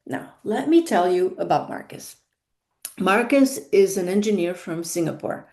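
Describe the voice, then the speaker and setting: A woman's voice: on the low side, lively intonation, measured pace, dark tone. A woman with a non-native English accent giving a monologue as a career consultant, stressing key words and repeating her points.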